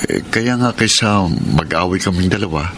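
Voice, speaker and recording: An actor playing a hurt, suspicious husband in a Filipino radio drama, earnest and aggrieved. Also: male voice, low voice, lively intonation, normal speed, fairly bright tone, a little hiss or hum. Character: hurt, suspicious husband confiding his marital troubles.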